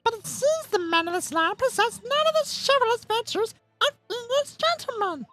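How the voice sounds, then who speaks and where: A streamer voicing a haughty young English gentlewoman from a video game in a squeaky cartoonish voice, prim and condescending. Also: animated, moderate pace, faint music behind, exaggerated voice. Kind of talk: dramatic read of a video game script.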